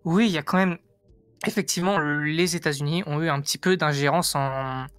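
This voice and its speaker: A man's voice: on the high side, brisk, fairly bright tone, dry recording. A man from France explaining his view in a casual debate, insistent and emphatic, often repeating himself. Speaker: guest giving an opinion.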